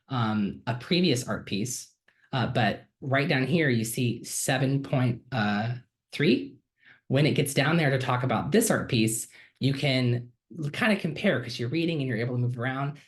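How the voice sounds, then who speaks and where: A man, a student, giving a casual and enthusiastic screen-share walkthrough of a digital textbook feature, with frequent ums and uhs. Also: mid-range voice. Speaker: student giving a software demo.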